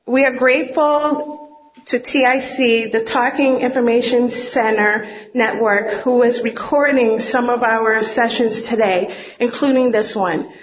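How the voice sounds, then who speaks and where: A woman moderator reading announcements and introducing speakers at a conference, halting and tripping over titles. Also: a little high, fairly even intonation. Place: conference room. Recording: dull lo-fi lecture recording.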